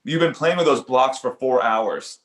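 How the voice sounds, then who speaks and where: A man playing an awkward young father and amateur comedian in an animated comedy table read, hesitant and stammering. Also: mid-range voice, normal speed, close to an everyday voice. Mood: exasperated. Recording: roomy echo.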